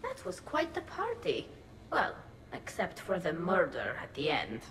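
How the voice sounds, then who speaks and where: Lively, playful alien woman. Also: fairly low pitch, unhurried, slight background noise, heavily acted. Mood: teasing. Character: playful, friendly young female alien companion. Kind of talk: video game cutscene dialogue. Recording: roomy echo around voice.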